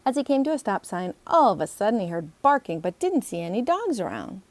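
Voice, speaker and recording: A woman, an author, reading her children's book aloud in an expressive storybook narration. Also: mid-range voice, fairly quick, no room sound.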